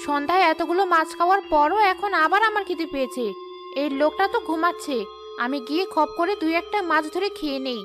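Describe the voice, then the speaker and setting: A woman's voice: very high voice, fast, over music. A woman voicing a greedy, sly, snappish female ghost in a Bengali cartoon story. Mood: greedy, gloating. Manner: snappish, irritable, scolding.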